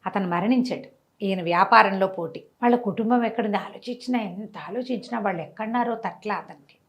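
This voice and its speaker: A woman telling a moral story conversationally, with animated delivery, rhetorical questions and everyday examples. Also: mid-range voice, brisk. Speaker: storyteller, interview guest.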